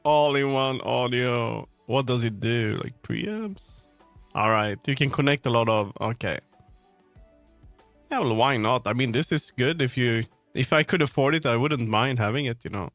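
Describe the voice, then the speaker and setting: A man's voice: medium pitch, normal speed, a bit bright, no echo. A man livestreaming, chatting casually and informally about tech gear, with frequent swearing. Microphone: streaming microphone on a sound card. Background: music.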